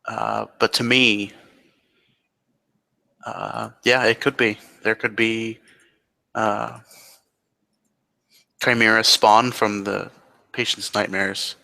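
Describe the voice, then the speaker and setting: Guy doing a calm seer type in an rpg, lowish creaky voice, measured delivery. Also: heavily acted. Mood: measured, reassuring. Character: calm man who sees eerie hidden nightmare visions.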